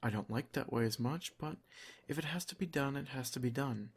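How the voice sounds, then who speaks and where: A man playing a doting, protective vampire lord in an ASMR roleplay, soft-spoken, formal and reassuring. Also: mid-range voice, a little fast, husky. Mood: pensive, reassuring.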